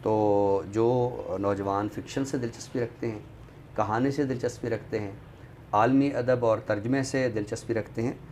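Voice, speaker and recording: A man with a Pakistani accent discussing books in a deliberate, scholarly way, often pausing mid-sentence. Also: fairly low pitch, a little mellow. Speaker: literary show presenter. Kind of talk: book discussion in Urdu literary talk. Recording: noticeable background noise.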